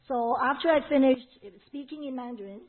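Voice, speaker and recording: A woman with a Hong Kong accent interprets a sermon, passing each phrase along with small fillers. Her voice is husky and somewhat high. The audio sounds thin, like a phone. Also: phone-quality sound. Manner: relays each phrase quickly, with fillers and restarts.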